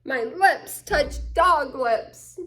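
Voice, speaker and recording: Woman doing a high, bossy little storybook girl. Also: expressive, very slow, a bit bright, heavily acted. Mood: disgusted.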